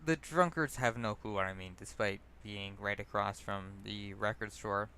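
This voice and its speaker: A man's voice: fairly low pitch, steady tone, moderate pace, pushed and bright, slight background noise. A man reading his own personal essay aloud as narrator, stumbling over words and correcting himself. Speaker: narrator and author.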